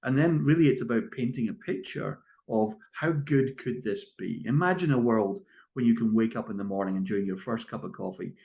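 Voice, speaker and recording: A man's voice: mid-range voice, a little fast, gravelly, mellow. A man with a Scottish accent answering interview questions as a company founder, conversational and emphatic, leaning on key phrases. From Scotland. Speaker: guest, tech company founder and CEO.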